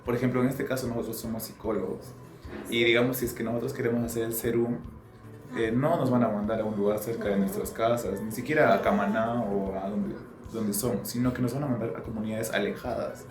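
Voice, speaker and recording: A man's voice: mid-range voice, fairly even intonation, a little fast. A young man, a psychology student steering a group discussion, thoughtful and often correcting himself. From Peru. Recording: echoey room with music behind.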